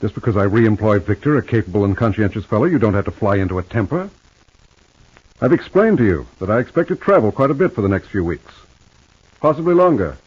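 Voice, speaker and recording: An actor playing a smooth, condescending rich estate owner with an American accent in a 1950s radio soap opera. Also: male voice, lowish voice, animated, fast talker, darkish tone. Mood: condescending, needling. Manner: suave, evasive, patronizing. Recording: muffled old radio recording.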